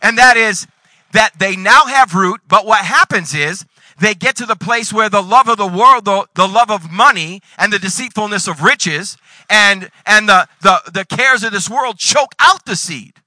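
A man in his forties preaching a sermon, reading scripture and breaking into sudden loud bursts. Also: high-pitched, expressive, moderate pace, no room sound, rough recording. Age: 40s. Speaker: pastor.

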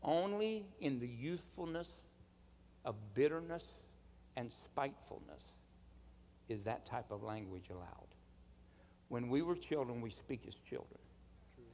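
A man preaching a sermon in an emphatic, deliberate manner, with rhetorical questions and calls for response. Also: medium pitch, lively intonation, a little mellow, slight background noise. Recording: long hall reverb.

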